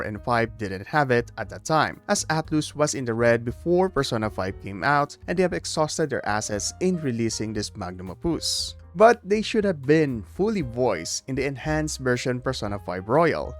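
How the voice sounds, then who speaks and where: A man hosts a scripted video essay with a lively, swinging intonation. His delivery is emphatic, dotted with jokey asides. Light music plays behind his mid-pitched voice.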